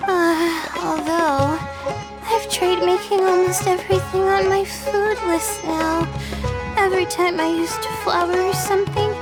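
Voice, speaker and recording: A woman's voice: extremely high pitch, fairly even intonation, a little slow, over loud music. An actress playing a shy, sleepy young female scholar in an anime video game, speaking hesitantly. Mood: earnest.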